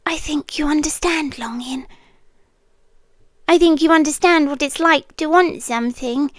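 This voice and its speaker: An obsessive young yandere girl with a British accent, acted by a woman, murmurs in a high, soft voice right up at the microphone. The sound is dry and intimate, as in ASMR roleplay. She takes her words slowly and deliberately, often dropping to a whisper, with longing and quiet menace. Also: no room sound. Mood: hushed, intense. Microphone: close microphone.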